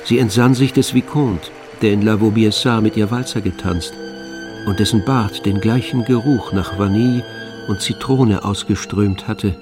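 An actor playing the narrator of a literary radio drama, telling the story in a measured, sober, intimate way. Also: male voice, lowish voice, natural voice. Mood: measured, sensual. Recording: music behind the narration.

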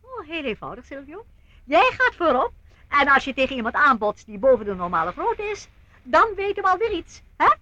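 Eccentric, breezy old aunt, swooping high voice. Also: female voice, sing-song, fairly quick, sharp tone, dry recording, exaggerated voice. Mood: brisk, teasing. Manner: breezy, chuckling, fondly condescending. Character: cheerful eccentric elderly aunt, fond of her zombie playmates. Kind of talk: radio play acting.

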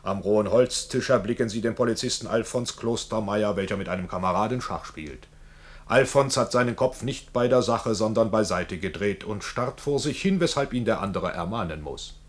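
An actor playing a pompous fairground barker, narrating in a showy, declamatory, coaxing radio drama style. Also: male voice, fairly low pitch, normal speed, close to an everyday voice. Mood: grandiose narrating. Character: pompous, grandiloquent male fairground ballad barker.

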